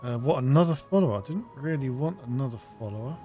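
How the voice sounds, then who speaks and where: A man's voice: mid-range voice, lively intonation, fast, dark tone, faint music behind. A man doing casual playthrough commentary, reading out his character's replies and reacting as he plays. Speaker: gamer playing and reacting.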